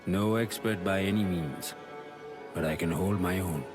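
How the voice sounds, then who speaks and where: A modest, steady warrior in a fantasy game. He speaks slowly and earnestly in a low voice, with clear music behind him. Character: modest, steady male warrior, ready to fight. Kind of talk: scripted video game dialogue.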